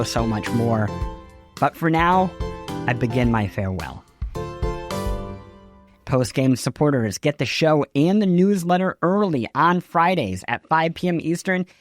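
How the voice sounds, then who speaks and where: A man hosting a podcast, reading scripted news and show notes in a wry, conversational way. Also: medium pitch. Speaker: podcast host. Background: music behind the voice.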